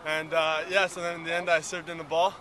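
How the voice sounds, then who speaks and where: Young man, college player, fairly high voice, casual, upbeat, outdoors at night. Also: level tone, fast talker, very noisy. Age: young adult. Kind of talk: post-game interview. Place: outdoors by stadium stands at night.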